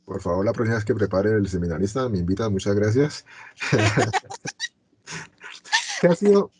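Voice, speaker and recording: A man's voice: on the low side, lively intonation, moderate pace, very noisy. A man hosting a university program, asking long, friendly questions. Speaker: university radio host. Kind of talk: interview.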